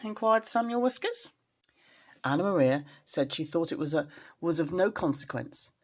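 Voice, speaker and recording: A woman's voice: fairly low pitch, darkish tone. A woman storyteller reading a children's storybook expressively, as if to a young listener.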